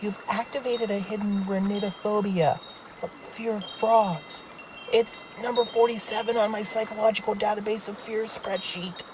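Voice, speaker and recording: A woman's voice: mid-range voice, fairly even intonation, moderate pace, background noise, lightly acted. A woman playing an anxious, doom-predicting spaceship crew member in an audio sitcom, fretful and dryly fatalistic. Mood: hushed, matter-of-fact. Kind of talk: sci-fi audio drama sitcom acting.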